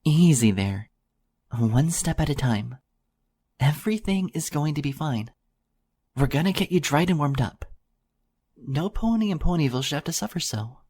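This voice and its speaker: A man voicing a kind, refined grown mare pony who fusses over a stranger in a gentle, breathy manner. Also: high voice, very animated, slow, pitched above the performer's own voice, full character voice. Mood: soothing. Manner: gentle, fussing, breathy whispers.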